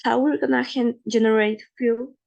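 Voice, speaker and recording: Gravelly-voiced woman presenting slides. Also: fairly high pitch, fairly even intonation, a little mellow, highs cut off. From Ecuador. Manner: careful, slightly halting non-native English with fillers. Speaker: student presenter.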